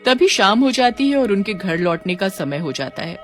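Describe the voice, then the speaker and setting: Very lively woman story narrator. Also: mid-range voice, moderate pace, soft background music. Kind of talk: scripted cartoon story narration.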